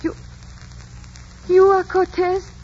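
An actress playing a gentle young Aztec princess, formal and earnest, in a 1940s radio romance. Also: female voice, very high-pitched, monotone, takes it slowly. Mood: awed, hesitant. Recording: dull, hissy old radio recording.

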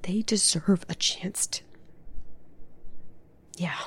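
A woman's voice: lowish voice, drawn-out words, slightly rough, heavily acted. A woman playing an anxious undercover agent in an audio drama, muttering to herself between whispers and bravado. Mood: resolute muttering.

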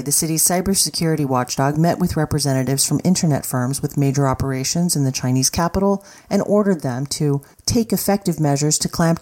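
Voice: mid-range voice, darkish tone. A news reader narrating a scripted story in formal broadcast copy.

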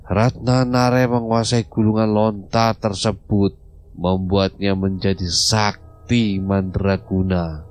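A man playing an old grandfather mystic healer who gives calm, grave counsel in an audio drama. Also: fairly low pitch. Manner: slow, solemn counsel. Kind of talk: amateur audio drama acting.

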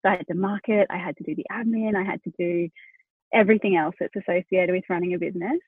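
A woman's voice: on the low side, steady tone, fast, breathy edge, no echo, narrow, tinny audio. A pregnant Australian woman in her thirties, a wedding photographer, chatting as a podcast guest with lots of fillers and restarts. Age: 30s. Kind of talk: casual interview chat.

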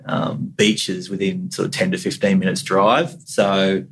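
A man's voice: low-pitched, little pitch movement, measured pace, a bit bright, husky. A man with an Australian accent, a guest on a podcast, talking about his life in a laid-back, easygoing way with casual slang. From New South Wales, Australia. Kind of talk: casual interview chat.

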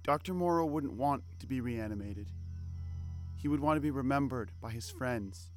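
A man's voice: medium pitch, normal speed, lightly acted. A man playing an earnest, righteous boy on the cusp of manhood in an audio drama, with music underneath. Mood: grave, subdued.

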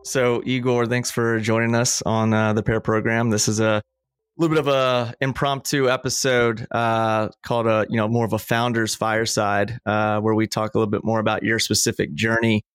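A man's voice: fairly low pitch, flat delivery, normal speed, smooth, no room sound, clean studio sound. A male podcast host asking interview questions in a hesitant way, with lots of fillers. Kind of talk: conversational interview.

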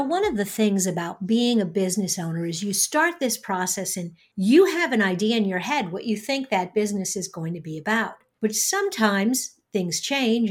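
Upbeat woman podcast host. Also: fairly high pitch. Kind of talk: interview podcast.

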